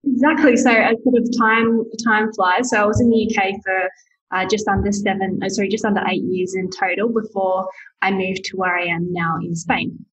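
A woman in her thirties who grew up in Zambia, a dietitian recounting her path as a guest with lively restarts. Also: mid-range voice, rough recording. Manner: lively storytelling, halting restarts. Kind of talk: casual interview chat.

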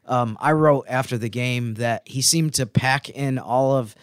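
A man's voice: mid-range voice, measured pace, smooth, dry recording, clean studio sound. A man co-hosting a sports podcast, explaining plays in casual, conversational analysis.

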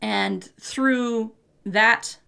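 A woman co-hosting a podcast, explaining mythology in relaxed chat with many fillers and hedges. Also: fairly high pitch, extremely slow, creaky, clean tone. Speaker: podcast co-host. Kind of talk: casual chat about mythology.

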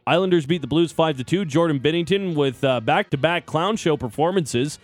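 A man's voice: fairly high pitch, fairly quick, no echo. A man co-hosting a sports show, running through hockey scores in slangy, casual banter. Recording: music playing behind the voice. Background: music bed.

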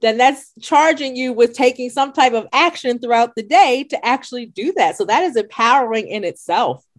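A woman's voice: on the high side, expressive, normal speed. A woman hosting a podcast interview, affirming her guest and summing up her points reflectively. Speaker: podcast host. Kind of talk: interview conversation.

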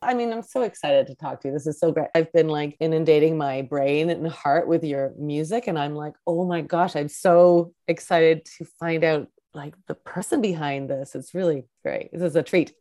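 A woman's voice: low-pitched, moderate pace, few pauses, warm tone. A woman hosting a podcast interview, chatty and gushing with enthusiasm. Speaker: podcast host.

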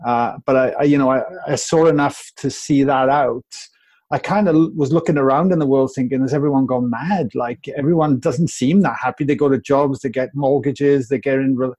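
A man's voice: medium pitch, fairly even intonation, normal speed, darkish tone. A Welsh man in his forties, a guest on a podcast over a video call, reflective and rambling with many restarts. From Wales. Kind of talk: podcast interview.

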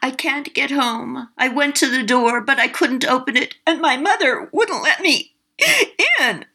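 Woman voicing a small lost ghost child boy, high and tearful, storybook read. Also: unhurried, sharp tone, breathy edge, exaggerated voice. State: crying.

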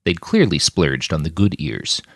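Male fiction narrator, low register, lively intonation, dry studio-style sound. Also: measured pace, no room sound. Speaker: author reading his own fiction podcast. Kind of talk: audiobook-style narration of gritty sci-fi fiction.

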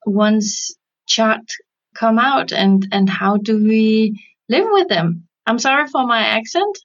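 Woman, Danish accent, very slow hesitant listener, dull lo-fi recording. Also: medium pitch. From Denmark. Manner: hesitant, searching for words. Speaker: listener leaving a question.

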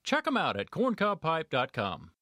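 A man's voice: fairly high pitch, wildly swooping pitch, moderate pace, very noisy. A man reading a folksy radio ad.

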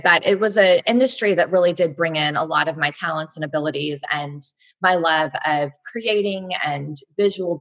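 A woman in her thirties from Texas speaks low and a touch husky. She tells her story reflectively and at ease, with easy fillers and pauses as she thinks. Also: a bit bright. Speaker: guest, blogger and entrepreneur. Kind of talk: conversational interview.

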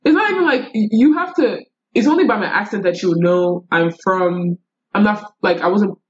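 Young woman, animated slangy delivery, casual storytelling, middle pitch, slightly muffled lo-fi sound. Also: normal speed. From United States. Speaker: podcast guest.

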